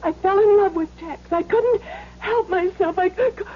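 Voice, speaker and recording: An actress playing an emotional young wife with a halting delivery in an old radio drama. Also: female voice, extremely high pitch. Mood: terrified, pleading. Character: frightened young pregnant wife, gangster's former sweetheart. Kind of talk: 1970s radio drama acting. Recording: muffled, noisy old radio recording.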